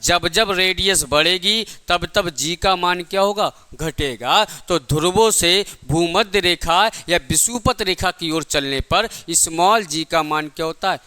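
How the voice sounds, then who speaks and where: A man's voice: sits high, measured pace, few pauses, bright, forceful tone, clean tone. A man teaching a lecture in a didactic way, repeating points and asking rhetorical questions. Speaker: physics teacher for exam coaching. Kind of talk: classroom lecture.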